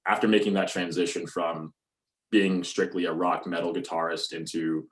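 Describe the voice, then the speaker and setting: A young man from North Alabama with a deep, bassy voice, chatting casually as a musician guest with plenty of "you know" and "um". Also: moderate pace. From North Alabama, USA. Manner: relaxed, many fillers like "you know" and "um". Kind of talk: casual interview talk.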